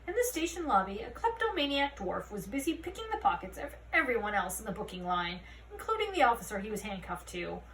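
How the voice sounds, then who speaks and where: A woman reading a children's fantasy novel aloud as an expressive storyteller. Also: medium pitch, a little fast. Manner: expressive storytelling, acting out dialogue. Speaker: read-aloud narrator. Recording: roomy, distant mic, faint noise.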